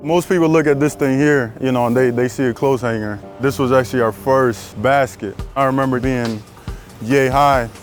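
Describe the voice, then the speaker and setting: A man's voice: medium pitch, steady tone, normal speed, faint music behind. A young man from South Sudan, founder of a youth basketball program, speaking earnestly in a documentary interview and repeating words for emphasis.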